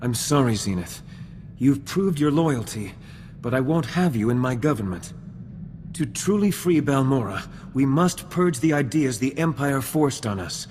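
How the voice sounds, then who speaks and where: A man's voice: medium pitch, lively intonation, measured pace, darkish tone, a little hiss or hum, natural voice. A man as an earnest, dignified young-government statesman. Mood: firm. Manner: formal, oratorical. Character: earnest, dignified male politician and idealistic new president. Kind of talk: video game dialogue acting. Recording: long hall reverb, music behind.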